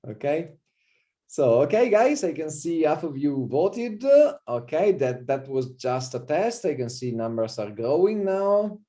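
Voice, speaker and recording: A man from Italy with an Italian accent, a visiting professor giving an online university lecture on a video call, relaxed and full of fillers and repeated "okay". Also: a little high, a little slow. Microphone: computer mic on a video call.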